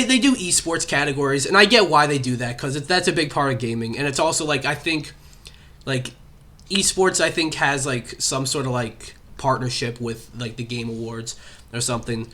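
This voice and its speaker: Bright, rambling young male podcast host with a slight cough, casual chat, lots of "uh" and "like". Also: on the high side, lively intonation, moderate pace. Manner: rambling, many fillers. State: has a cough.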